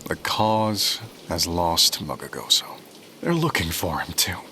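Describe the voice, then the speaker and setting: An actor playing a weary, hunted rebel leader in an audio drama, grave and guarded, speaking in clipped phrases. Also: male voice, low voice, very animated, a little slow, bright, forceful tone, slight background noise, full character voice. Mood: tense, sceptical. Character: weary, hunted male rebel leader and propagandist, earnest and irritable. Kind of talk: audio drama narration and dialogue. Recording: music underneath the voice.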